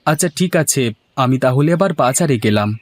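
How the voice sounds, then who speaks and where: A man's voice: medium pitch, animated, fairly quick, close to an everyday voice. Earnest, plaintive poor village farmer voiced by a man. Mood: resolved. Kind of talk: Bengali moral-story cartoon acting.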